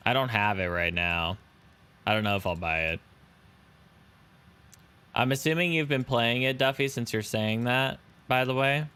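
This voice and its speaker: Slow, smooth low-voiced male streamer. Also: sharp tone. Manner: chatty, answers viewers' chat and thanks subscribers. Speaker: live streamer. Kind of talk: casual live-stream chat while flying a simulator.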